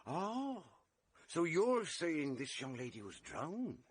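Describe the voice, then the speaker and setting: An actor playing a ponderous English village police sergeant, politely insinuating, in a vintage radio drama. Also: male voice, a little high, very animated, slightly rough, dry recording, heavily acted. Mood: suspicious, accusing. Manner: ponderous, deliberate, deferential yet insinuating.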